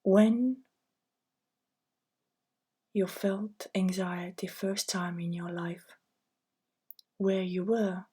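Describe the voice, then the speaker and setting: A woman with an Estonian accent, a native Estonian speaker, working as an anxiety counselor and reading course slides aloud in a gentle, soft-spoken way. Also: medium pitch, steady tone, very slow, long pauses, slightly rough.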